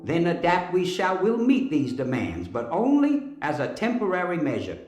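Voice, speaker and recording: A skeptical opera director, acted by a man, speaks briskly in a high, animated voice. His delivery is theatrical and questioning, recorded with a roomy echo for an audio drama. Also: soft background music. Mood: skeptical, resolved.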